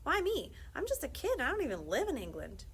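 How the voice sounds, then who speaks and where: A woman's voice: high voice, huge pitch swings, fairly quick, vocal fry, a bit bright, slight background noise, pitched above the performer's own voice, exaggerated voice. A woman voicing an innocent, questioning young schoolboy from a storybook. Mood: puzzled, questioning. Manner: plain-spoken, innocent, questioning.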